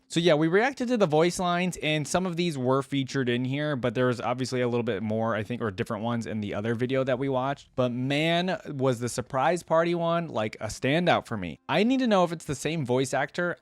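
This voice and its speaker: A man's voice: medium pitch, dry recording. A young adult man giving enthusiastic, informal fan commentary as a reaction video host.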